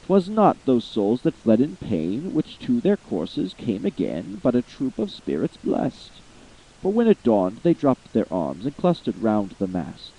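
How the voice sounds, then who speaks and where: A man giving a slow, theatrical reading of a long narrative poem, swelling with feeling at the high points. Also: wildly swooping pitch, warm tone, breathy edge, poor audio. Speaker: amateur voice actor reading poetry. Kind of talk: dramatic poetry reading.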